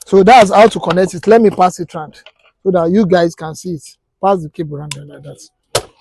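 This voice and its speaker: A man's voice: high-pitched, moderate pace, darkish tone, slightly rough, dry recording. A Nigerian man teaching a hands-on practical class as an instructor, explaining step by step and quizzing listeners with rhetorical questions. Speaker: technical instructor.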